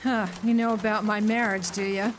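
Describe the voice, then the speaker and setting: A woman's voice: medium pitch, flat delivery, normal speed, lightly acted. An actress playing a tough, jaded small-town diner woman, dry and sardonic, in a noir radio drama. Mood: jaded, challenging. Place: outdoor amphitheater stage. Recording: faintly noisy outdoor live recording.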